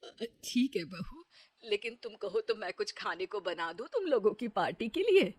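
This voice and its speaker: A woman voicing a gentle, humble older village mother-in-law in an acted story. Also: mid-range voice, expressive, moderate pace, dry recording, lightly acted. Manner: kindly, forgiving, soft-hearted.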